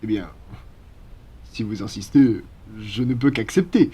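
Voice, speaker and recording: A man's voice: lowish voice, unhurried, warm tone, slightly rough. A man voicing a courteous, apologetic vampire in an ASMR roleplay, speaking formally and politely. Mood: reassuring, formal. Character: courteous, apologetic male vampire from a blood-donation foundation.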